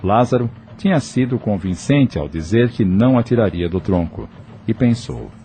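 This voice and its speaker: A male narrator reads an audio novel from a script. His voice is fairly low and mellow, set over audible background ambience. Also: lively intonation, normal speed, slight background noise.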